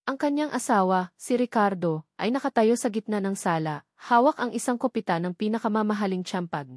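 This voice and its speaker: A woman's voice: mid-range voice, darkish tone, no room sound, studio quality. A woman with a Filipino accent narrating a scripted love drama in a solemn, dramatic way. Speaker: storyteller narrator.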